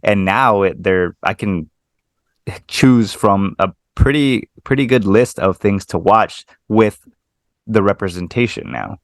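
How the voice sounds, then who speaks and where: Low-voiced guy hosting a podcast, laid back, lots of ums. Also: slow pace, no room sound, studio quality. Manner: relaxed, agreeable, with filler words. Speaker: podcast host. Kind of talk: casual podcast interview.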